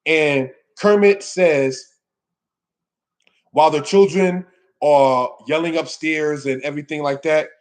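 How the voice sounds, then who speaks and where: A man's voice: fairly high pitch, steady tone, slow pace. A man teaching scripture in an informal sermon, exhorting his listeners with emphatic phrasing and rhetorical questions. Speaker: religious teacher giving a scripture lesson. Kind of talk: sermon, informal Bible teaching addressed to a congregation.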